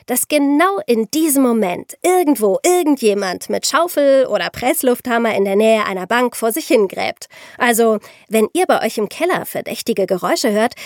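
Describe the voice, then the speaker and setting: A woman with a fairly high voice hosts a true-crime podcast in a dry, close studio sound. She tells her material conversationally, as an animated storyteller who speaks straight to the listeners. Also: no room sound. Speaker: podcast host.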